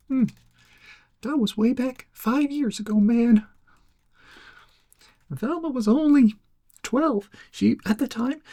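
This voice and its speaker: Casting: male performer as goofy, timid young stoner detective for ASMR roleplay; high-set cracking drawl, mellow and close, dry sound. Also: vocal fry, breathy edge, no room sound, heavily acted. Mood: chatty, friendly. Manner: rambling, hesitant stoner drawl, tagging sentences with "man". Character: laid-back, cowardly, goofy young male amateur sleuth. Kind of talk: soft-spoken ASMR roleplay.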